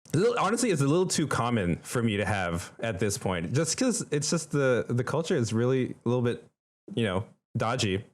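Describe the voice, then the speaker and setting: A man's voice: mid-range voice, very animated, brisk. A young man from the Philippines chatting casually as a podcast co-host, in an informal, emphatic and slangy way. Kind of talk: casual conversational chat.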